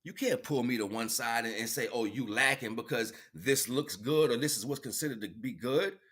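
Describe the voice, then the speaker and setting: A man's voice: medium pitch, moderate pace, a bit bright. A man giving an improvised spiritual, motivational livestream talk in an emphatic, preachy way, repeating phrases for effect.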